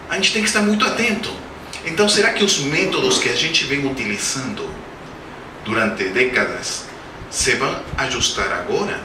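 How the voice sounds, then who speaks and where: Spanish-accented male lecturer, forties, higher-pitched, anecdotal and jokey; roomy, noisy hall recording from a distance. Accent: Spanish-speaking accent in Portuguese. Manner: jokey anecdotes, asks the audience questions. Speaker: guest speaker at a spiritist center. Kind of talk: humorous spiritist lecture. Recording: roomy hall, distant mic, background noise.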